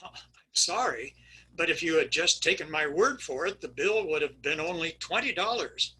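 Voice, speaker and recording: Man reading a dry, deadpan vet. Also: a little high, moderate pace, slightly rough, exaggerated voice, low-quality recording. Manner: dry and matter-of-fact. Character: weary, deadpan male veterinarian.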